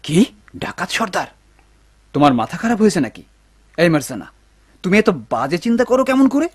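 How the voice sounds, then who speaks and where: A man playing an anxious, excitable, inexperienced young son in a cartoon, full of questions. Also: high-pitched, brisk. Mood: alarmed. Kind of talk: animated cartoon dub acting.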